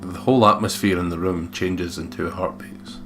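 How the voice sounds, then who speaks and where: A man's voice: low-pitched, fairly even intonation, normal speed. A man hosting a let's play, reading game narration aloud with casual commentary. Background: guitar music from game.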